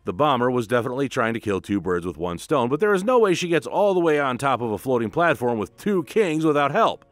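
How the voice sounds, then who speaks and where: A man's voice: medium pitch, big pitch swings, fast talker, dry recording. A man narrating a scripted comic book recap with informal commentary in a dramatic, emphatic way.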